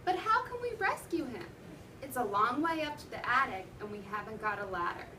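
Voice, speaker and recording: Lady doing firm kindly storybook bear leader, highish voice, recorded far off. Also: sing-song, brisk. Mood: concerned. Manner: encouraging, firm. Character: kindly, steady male toy bear leading his friends. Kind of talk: storybook read-aloud for children. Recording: roomy, noisy classroom from far off.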